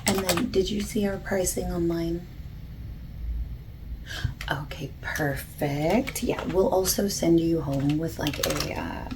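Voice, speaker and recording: A woman playing a friendly, patient bakery salesperson in a soft-spoken ASMR roleplay, often breathy and near a whisper, gently overexplaining. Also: full character voice. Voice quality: low-pitched, creaky, soft. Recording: lo-fi hissy close recording, keyboard typing.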